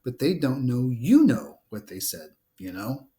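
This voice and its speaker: A man's voice: mid-range voice, very animated, unhurried, mellow. A man reading the part of a caring, exasperated teenage older sister in a storybook, insistent and reasoning. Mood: persuasive. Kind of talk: storybook read-aloud dialogue.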